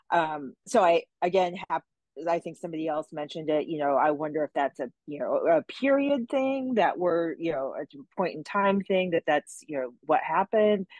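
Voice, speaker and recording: A woman joining a discussion online, giving a reflective, tentative comment in a halting way. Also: sits low, a little mellow, dry recording. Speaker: online audience participant. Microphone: remote call over the internet.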